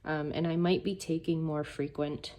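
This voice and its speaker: A woman with a hoarse, gravelly voice chatting casually at her desk about her planners, rambling through self-deprecating asides. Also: low-pitched, fairly even intonation, moderate pace, dark tone. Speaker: planner YouTuber. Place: home desk by a sunny window.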